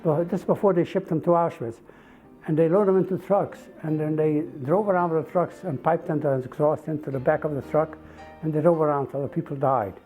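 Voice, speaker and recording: A man in his eighties from Eastern Europe recounts his memories, pausing with fillers and repeating himself. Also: fairly high pitch, fairly even intonation, faint music behind. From Poland and Czechoslovakia. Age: 80s. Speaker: Holocaust survivor, interviewee. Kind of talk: testimony interview. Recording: tinny phone-like sound.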